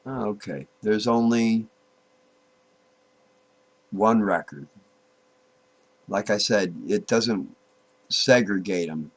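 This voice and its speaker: A man with a fairly low voice walks through software in an informal, unscripted way. His delivery is very slow and halting. He thinks aloud and repeats himself as he works through each step. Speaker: software tutorial presenter. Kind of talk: informal screen-recorded tutorial, unscripted walk-through.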